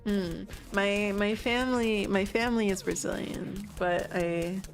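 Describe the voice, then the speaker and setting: Young American woman, game streamer, unhurried delivery, faint music underneath, casual and laughing. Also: medium pitch, steady tone. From United States. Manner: chatty, swears casually, laughs often, reacts to chat. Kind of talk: casual gaming livestream chat.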